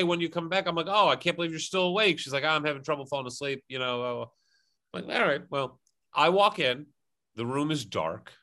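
A man's voice: fairly high pitch, bright, forceful tone. A young man hosting a podcast, telling a story in a joking, teasing way. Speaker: podcast host. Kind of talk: casual storytelling chat.

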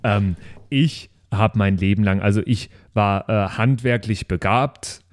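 A man's voice: lowish voice, measured pace, dry recording, studio quality, natural voice. A man playing a chatty, self-satisfied retired German grandfather, earnest and rambling, in an improvised role-play podcast. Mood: earnest pride.

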